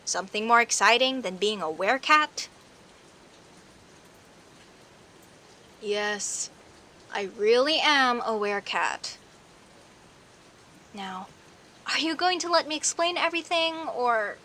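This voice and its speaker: A woman plays a friendly, teasing young woman next door who is secretly a werecat. Her voice is fairly high and bright, and she speaks at a leisurely pace, addressing the listener directly as in an intimate audio roleplay. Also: expressive. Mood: earnest, insistent. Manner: teasing, indignant, conversational with sighs and pauses. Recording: faint hiss and rain noise. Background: rain ambience.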